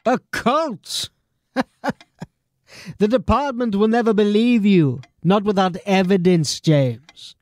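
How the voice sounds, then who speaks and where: A man playing a stern, skeptical but loyal police captain in an audio drama, measured and admonishing. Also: sits high, a little mellow, no room sound. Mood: disbelieving.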